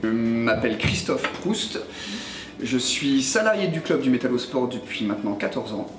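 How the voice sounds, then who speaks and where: A man in his forties, a youth football coach, speaking in a measured interview with frequent hesitations. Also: mid-range voice, lively intonation, over music. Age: 40s. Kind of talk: documentary interview. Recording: roomy, distant mic.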